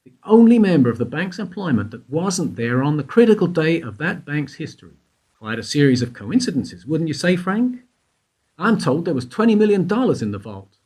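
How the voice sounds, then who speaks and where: Male actor doing shrewd detective grilling someone in a radio play, raspy, very animated, sarcastic. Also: a little high. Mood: sardonic, accusing. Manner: deliberate, sardonic, accusing. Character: shrewd, sardonic male investigator grilling a suspect.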